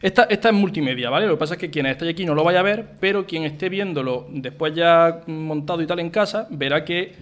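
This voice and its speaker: A man from Spain co-hosting a chatty podcast. His voice sits a bit high, and he teases and jokes, repeating lines for emphasis as he reads listener messages. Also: fast talker, hardly any pauses. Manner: teasing, joking, emphatic repetition. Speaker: podcast co-host. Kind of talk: casual chat, reading listener messages.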